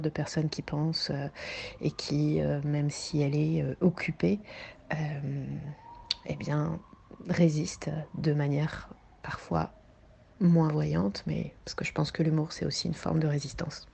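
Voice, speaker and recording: A woman with a low voice talks about her documentary work. She speaks thoughtfully and pauses often, filling the gaps with hesitations, like someone recalling things in an interview. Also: gravelly, warm tone, breathy edge. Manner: thoughtful, hesitant with many fillers. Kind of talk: recorded interview answer.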